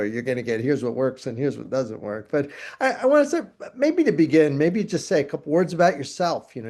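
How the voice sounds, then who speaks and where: A middle-aged man with an average-pitched voice hosts a conversation. He asks long, rambling questions, circling back and restarting phrases. His delivery is friendly and unscripted. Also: darkish tone. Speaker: interview host. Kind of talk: conversational interview hosting.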